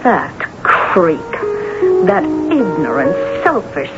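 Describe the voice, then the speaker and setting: An actress in an old radio drama playing an imperious, high-strung woman novelist with a theatrical, scornful, biting delivery. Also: huge pitch swings, drawn-out words, over loud music, heavily acted. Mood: seething, exhausted. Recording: muffled lo-fi radio drama tape.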